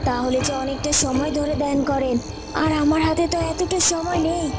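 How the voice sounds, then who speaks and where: Woman acting cartoon witch, high voice, anxious, pleading, melodramatic, prominent music behind. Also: brisk, natural voice. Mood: fretful, anxious. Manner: pleading, fretful, asking herself questions. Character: pleading, anxious old witch, disciple of a tantric.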